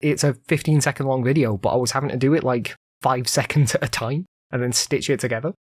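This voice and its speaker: A man's voice: mid-range voice, fast talker, breathy edge, dry recording, clean studio sound. A man hosting a casual solo podcast, rambling conversationally with lots of fillers, still recovering from an illness. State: recovering from illness, voice occasionally cutting out. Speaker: podcast host.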